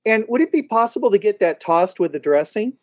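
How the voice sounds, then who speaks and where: Male co-host, casual storyteller, very varied pitch, dry close sound. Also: animated, a little fast, no echo. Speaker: podcast co-host. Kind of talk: casual chat, telling anecdotes.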